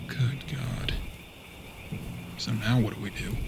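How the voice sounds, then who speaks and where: A man's voice: mid-range voice, takes it slowly, noisy background, natural voice. An American man playing a doubtful but game young apprentice bounty hunter in a western audio drama, questioning with a sardonic edge. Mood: shocked, uneasy.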